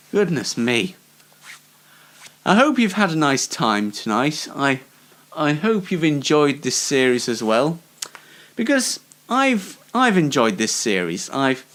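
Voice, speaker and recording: Animated, unhurried male comedy show host. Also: a little high. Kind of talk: casual comedic solo show presenting.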